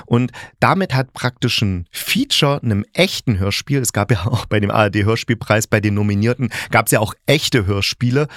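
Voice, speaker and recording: A middle-aged man with a mid-pitched voice talks through a review as a podcast host. He is colloquial and blunt, thinking aloud and correcting himself mid-sentence. The sound is close and dry, with no room echo. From East Germany. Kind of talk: casual opinionated review monologue.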